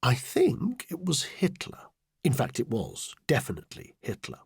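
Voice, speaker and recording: A man reading a satirical diary audiobook as a scheming, self-important male political adviser, deadpan, deliberate and sardonic. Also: mid-range voice, gravelly, breathy edge, no room sound, studio quality, exaggerated voice. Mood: coolly menacing. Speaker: audiobook reader. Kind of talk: satirical first-person diary audiobook narration.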